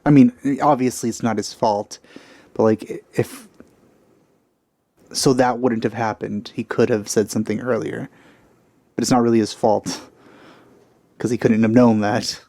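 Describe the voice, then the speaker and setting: A man with a dark, mellow voice gives casual let's play commentary in a musing way. The recording is close and dry. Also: lowish voice, steady tone, a little slow, pauses often, no echo.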